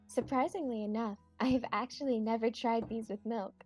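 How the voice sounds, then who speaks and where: A young woman playing a cheerful, husky-voiced married daughter in a student radio play. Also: fairly high pitch, fairly even intonation, normal speed, a little mellow, soft background music, natural voice. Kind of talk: student radio-theatre acting.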